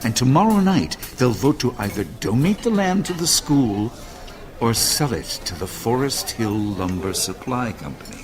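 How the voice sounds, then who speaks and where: An actor playing an earnest, courteous school leader in an audio drama, formal, measured and gravely persuasive. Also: male voice, medium pitch, big pitch swings, lightly acted. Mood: grave, urgent. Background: music.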